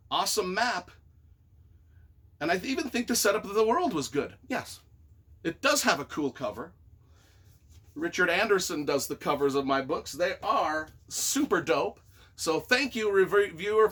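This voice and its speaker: Animated, bright-voiced man reading reviews. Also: high voice, breathy edge. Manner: expressive, wry, self-deprecating. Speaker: author and YouTuber. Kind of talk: reading reviews aloud with casual reactions.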